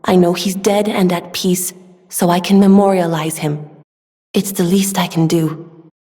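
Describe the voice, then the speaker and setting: A young woman voicing a gentle, earnest, polite weapons smith in a video game, soft-spoken and given to sighs. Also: lowish voice, steady tone, unhurried, lightly acted. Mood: sad, resigned. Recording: long hall reverb.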